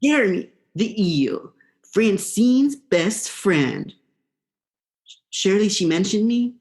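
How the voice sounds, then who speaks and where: Young woman playing a slangy sardonic eel dude, swooping pitch. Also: animated, slow, darkish tone. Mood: sardonic, menacing. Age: young adult. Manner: casual, slangy stoner drawl. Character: laid-back, vengeful male eel, slangy and sardonic. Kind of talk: student radio play acting.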